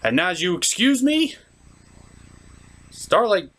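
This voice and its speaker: Male online reviewer, slightly high voice that swoops around, casual and opinionated, showy and blunt. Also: sing-song, takes it slowly, fairly bright tone.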